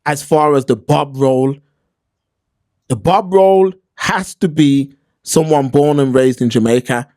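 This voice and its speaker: A man's voice: a little high, measured pace, darkish tone. A man with a London accent giving opinions in casual podcast talk, slangy and emphatic, repeating points for stress. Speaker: podcast host.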